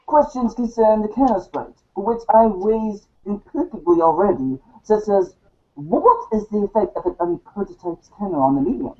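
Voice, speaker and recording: A woman voicing a verbose, coolly sardonic teenage girl in a stilted, halting reading of a webcomic script. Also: medium pitch, close to an everyday voice. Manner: stilted, wordy, halting over long words. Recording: dull lo-fi home recording.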